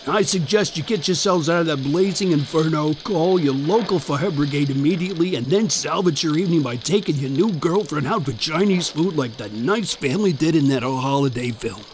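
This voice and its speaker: A man's voice: a little high, fairly quick, hardly any pauses, slight background noise, natural voice. A man playing a smug, know-it-all turkey hotline agent who interrupts and guesses the caller's problem. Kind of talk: comedic sketch.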